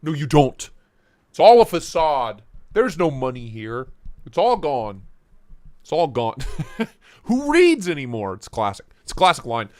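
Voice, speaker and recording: A man hosting a live stream, chatting casually and greeting viewers by name in a fairly high voice that swings all over. He jokes through it with a voice that is starting to go, recorded close and dry. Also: huge pitch swings, unhurried, a little mellow, no room sound. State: losing his voice.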